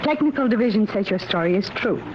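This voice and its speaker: An actress playing a brisk, formal female army lieutenant in a 1950s radio drama. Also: medium pitch, animated, natural voice. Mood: softening, formal. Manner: crisp, formal, official. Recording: echoey, muffled, noisy old radio recording.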